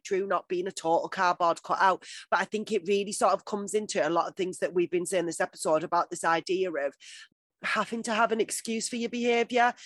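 A woman's voice: medium pitch, no echo. Chatty, sweary woman co-host, dry recording. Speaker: podcast co-host. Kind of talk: casual chat, TV recap.

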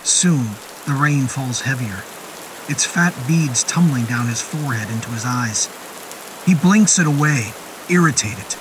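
A man narrating a scripted horror story in a deliberate, literary and descriptive manner. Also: medium pitch, lively intonation, background noise. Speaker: narrator of a horror fiction podcast. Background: music and rain sound effects.

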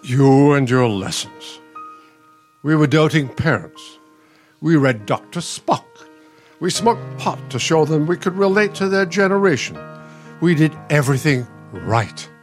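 An actor playing a smug, exasperated but caring elderly husband, a retired dean, in a radio play, speaking in a dry, testy way. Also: male voice, on the high side, expressive, unhurried, soft background music. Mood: pained, exasperated.

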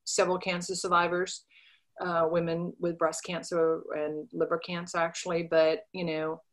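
A middle-aged woman, a podcast guest, chatting casually and telling animated stories with quoted voices. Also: on the low side, moderate pace. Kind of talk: casual interview chat.

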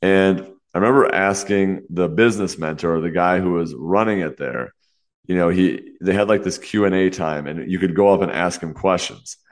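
Host, young man, very low voice, congested and a bit nasal; earnest, brisk solo podcast delivery. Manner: earnest, fast talk with many "you know" fillers. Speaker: podcast host and fitness coach.

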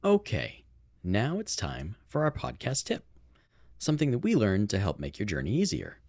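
Male podcast co-host, very low voice, dry close recording, easygoing reflective delivery. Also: wildly swooping pitch, moderate pace, gravelly, darkish tone, no echo. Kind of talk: casual chat.

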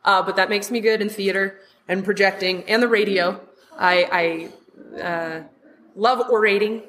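Loud, outspoken woman chatting on a podcast. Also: medium pitch, steady tone, unhurried, slight background noise. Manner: loud, outspoken and laughs often. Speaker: podcast guest and film reviewer. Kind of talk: casual podcast chat.

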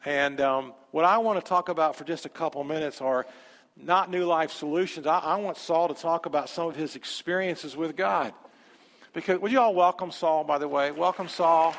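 High-voiced preaching pastor in a hall. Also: male voice, fairly bright tone. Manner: emphatic, repeats phrases. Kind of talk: sermon.

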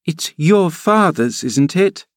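A man voicing a haughty, spoiled little girl in a storybook, imperious and childish, quick to indignant exclaiming. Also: on the high side, animated, dry recording, clean studio sound, pitched above the performer's own voice. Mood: curious.

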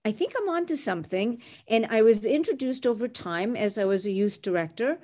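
A woman's voice: on the high side, expressive, fairly quick, darkish tone. A woman in her sixties from Canada, a recruiter, telling her career story in an interview with articulate, self-assured ease. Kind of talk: interview answers.

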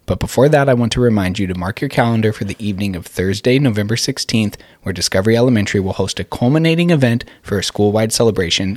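Male podcast host, school programme, scripted delivery, lower pitch, very dry recording. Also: no room sound.

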